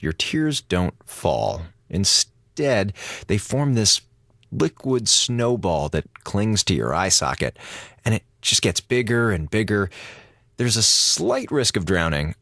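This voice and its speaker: Deep-voiced, sardonic American space officer. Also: male voice, a bit bright, no room sound, clean studio sound, exaggerated voice. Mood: weary, sardonic. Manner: sarcastic, flippant, theatrically exasperated. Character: sardonic, grumbling space station communications officer, adult man. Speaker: communications officer. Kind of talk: audio drama monologue, mock radio address to schoolchildren.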